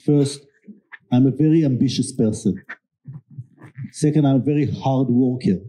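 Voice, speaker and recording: A middle-aged man with a thick Israeli accent, telling a candid, self-deprecating story on a panel stage with many pauses and fillers. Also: medium pitch, mellow, rough recording. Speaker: guest, security company executive. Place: event stage before an audience.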